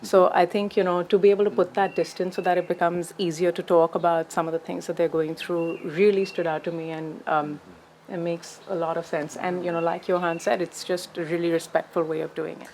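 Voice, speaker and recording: A woman's voice: lowish voice, level tone, a little fast, few pauses, darkish tone, a little hiss or hum. A woman from India, a narrative therapist talking in a thoughtful, reflective way, often saying "you know". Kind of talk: reflective conversation.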